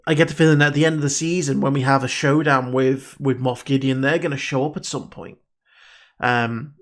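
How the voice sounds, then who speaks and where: A man's voice: on the high side, fairly bright tone. A man with a stuffed-up nose giving casual reaction commentary as a video host, musing and rambling with frequent ums.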